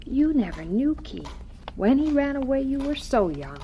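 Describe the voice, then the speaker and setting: A woman playing a gentle, devout mother in a radio drama, speaking in a tender, soothing, earnest way. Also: sits high, very animated, moderate pace.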